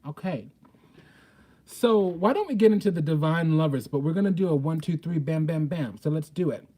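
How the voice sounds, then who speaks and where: A man's voice: fairly high pitch, animated, normal speed, dark tone. A man giving an informal spiritual tarot reading in a blunt, sassy, profane way with emphatic, theatrical delivery. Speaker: tarot reader, host.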